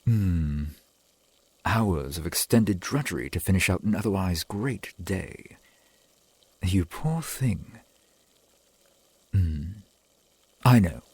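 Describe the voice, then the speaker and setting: A man playing a slow, husky, courtly vampire boyfriend. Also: deep voice, lively intonation, no echo, exaggerated voice. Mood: courteous, concerned. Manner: formal, archaic, gently attentive phrasing. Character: courtly, doting centuries-old vampire boyfriend. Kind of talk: ASMR boyfriend roleplay.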